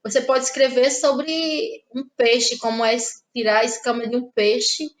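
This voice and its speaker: A woman's voice: fairly high pitch, fairly even intonation, measured pace. A woman with a northeastern Brazilian accent from Ceará, speaking reflectively as an interview guest and often checking for agreement with small tag questions. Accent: Cearense northeastern Brazilian. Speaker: interview guest, playwright and actress. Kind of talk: conversational interview.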